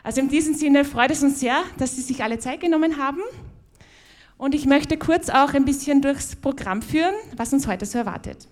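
A woman's voice: sits high, a little fast. Viennese woman hosting a conference. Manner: friendly, loose spoken welcome with many fillers and restarts. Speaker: conference host. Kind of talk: opening speech at a conference. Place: conference hall.

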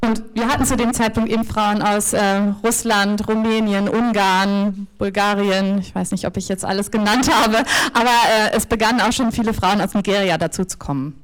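A woman's voice: mid-range voice, fairly even intonation, moderate pace, few pauses, faint music behind. A woman interpreting a talk consecutively, hesitating often with fillers and restarts. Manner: hesitant, many fillers and restarts while translating.

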